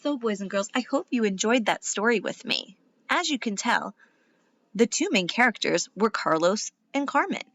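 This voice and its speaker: A woman's voice: mid-range voice, animated, normal speed, a bit bright, no room sound. A woman giving a read-aloud lesson for children as a teacher, instructive and asking questions.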